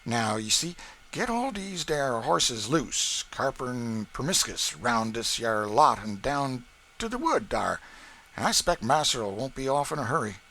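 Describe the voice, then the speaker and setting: A man's voice: mid-range voice, big pitch swings, measured pace, sharp tone, pitched above the performer's own voice, full character voice, poor audio. A man voicing a sly, cheerful young stable hand in an audiobook, with a broad rural dialect and comic exclamations. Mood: sly, exclaiming. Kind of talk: audiobook character voice.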